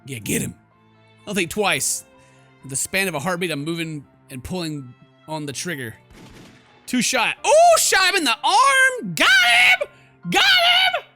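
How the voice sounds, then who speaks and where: Animated, bright-voiced man doing excitable game commentary. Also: high-pitched, unhurried. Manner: jokey, theatrical, excitable, slangy exclamations. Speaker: gaming streamer. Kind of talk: let's play commentary with dramatic reading of game text. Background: game music.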